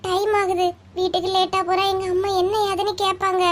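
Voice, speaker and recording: A woman voices an anxious young cartoon woman with a notably high pitch. She is timid and hesitant, pleading in a Tamil Nadu accent. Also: monotone, brisk. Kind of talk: cartoon story dialogue.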